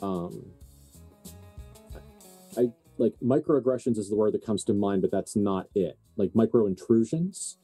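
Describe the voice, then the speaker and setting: Mellow, dark-voiced man narrating as game master. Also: fairly low pitch, animated, unhurried, long pauses, husky, soft background music. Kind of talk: improvised narration of a tabletop horror game.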